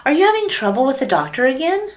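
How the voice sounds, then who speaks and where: Actress as naive sitcom wife, very high voice, lilting swoops, fretful, innocently questioning. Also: female voice, big pitch swings, fast. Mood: fretful, probing. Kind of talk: classic sitcom radio play acting.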